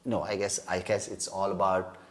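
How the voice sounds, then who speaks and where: A man's voice: low voice, flat delivery, moderate pace, a loud crowd. A man, a guest telling rambling jokes in a casual chat and laughing often. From India. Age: 30s. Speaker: podcast guest.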